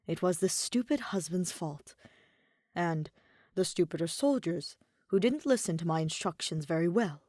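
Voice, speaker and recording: A woman's voice: fairly low pitch, expressive, normal speed, warm tone, no echo, studio quality. A woman voicing a doting but menacing royal husband in a fantasy story, soothing and authoritative. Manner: soothing, pretentious.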